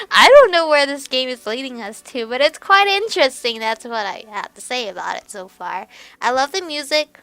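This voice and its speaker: A woman's voice: high voice, bright, forceful tone, no echo. A young woman doing casual let's play commentary in a chatty, giggly way. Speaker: gaming video host. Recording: music behind the voice. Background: game music.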